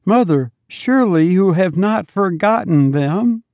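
A man voicing a gentle young boy's spirit who speaks tenderly and pleads with his mother, as part of a storybook reading. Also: high voice, lively intonation, measured pace, no echo, pitched above the performer's own voice. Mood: tender pleading. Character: gentle young boy spirit, sweet and loving.

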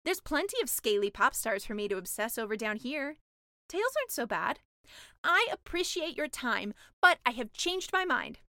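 A woman voicing a naive, hesitant young mermaid in a storybook, with animated innocence. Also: sits high, moderate pace, dry recording, studio quality, exaggerated voice. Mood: nervous, polite. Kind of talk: storybook character voice.

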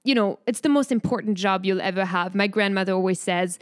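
A woman's voice: medium pitch, a little fast. A young woman from France with a French accent, co-hosting a casual podcast chat, friendly, with frequent laughs and fillers. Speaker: podcast co-host.

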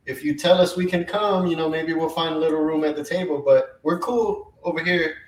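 A man from Colombia, co-hosting a casual podcast, talking in a relaxed, rambling way. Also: on the high side, brisk, distant mic, a little hiss or hum. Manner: easygoing, rambling. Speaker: podcast co-host. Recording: roomy and off-mic.

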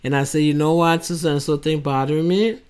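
A woman's voice: sits low, fairly even intonation, a little fast, a little mellow, clean tone. A woman in her sixties from Liberia, with a Liberian accent, speaking plainly and explaining with examples in a casual interview. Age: 60s. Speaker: podcast guest. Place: home office with windows open.

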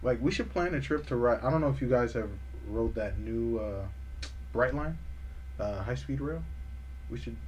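A young man riffs with friends in loose, slang-heavy banter. His pitch swings widely as he talks, and faint background noise sits under the voice. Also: moderate pace. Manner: animated, slangy, laughs a lot. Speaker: podcast host. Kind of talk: casual group chat.

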